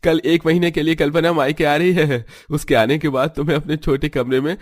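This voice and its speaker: Man voicing a teasing young husband, raised pitch. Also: fairly even intonation, a little fast, lightly acted. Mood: gleeful teasing.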